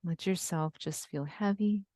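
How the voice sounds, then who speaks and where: A woman leading a guided yoga nidra relaxation, giving gentle, soothing instructions with long pauses. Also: on the low side, no room sound. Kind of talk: guided meditation.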